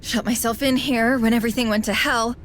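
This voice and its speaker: A woman's voice: on the high side, steady tone, a little fast, fairly bright tone, close to an everyday voice. A woman playing an unassuming veterinarian survivor in a game, frank, hesitant and self-deprecating. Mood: sheepish. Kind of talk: video game cutscene acting. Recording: game audio with faint background noise.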